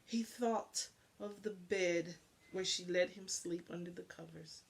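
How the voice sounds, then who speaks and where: A woman narrating a children's storybook aloud in an expressive style. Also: on the low side, measured pace, gravelly. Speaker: storybook reader.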